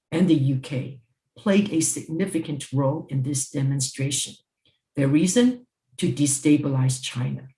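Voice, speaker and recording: A mature woman from Hong Kong giving a scripted panel speech in a formal, deliberate, emphatic manner. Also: low-pitched, mellow. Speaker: panel speaker, former judge. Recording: roomy, echoing sound.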